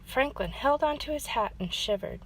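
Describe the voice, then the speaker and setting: A woman's voice: sits high, expressive, normal speed, husky, poor audio. A woman hosting story time, reading a children's storybook aloud as narrator.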